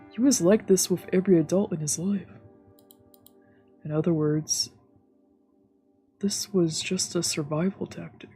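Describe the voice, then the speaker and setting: A woman streamer reading visual novel narration aloud for a let's play. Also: fairly low pitch. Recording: soft game music behind.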